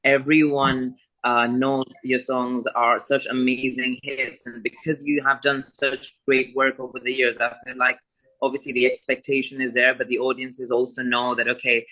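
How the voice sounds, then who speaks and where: Bright-voiced eager male interviewer. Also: mid-range voice, flat delivery, normal speed, low-quality recording. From Spain. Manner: enthusiastic, many fillers. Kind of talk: casual interview.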